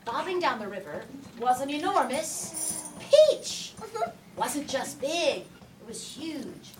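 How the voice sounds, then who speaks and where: A woman telling a story to young children in a playful, lively way with drawn-out emphasis, in a classroom. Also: sits high, soft background music. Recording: echoey room from far off.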